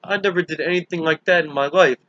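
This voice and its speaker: A man's voice: on the high side, quick pace, pushed and bright, no echo, dull audio. A man voicing a frightened, worn-out young runaway boy in an audiobook, agitated and earnest in the way he talks. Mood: bewildered. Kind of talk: audiobook character voice.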